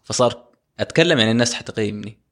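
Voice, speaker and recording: A young man, co-hosting a casual podcast and telling personal stories in a lively way. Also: lowish voice, racing. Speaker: podcast co-host.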